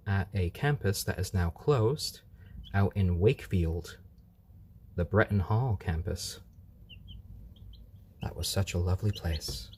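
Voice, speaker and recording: Mellow-voiced man chatting on a live stream. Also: fairly low pitch, slight background noise. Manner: easygoing, chatty, coos over the chicks. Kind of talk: casual live-stream chat answering viewer comments.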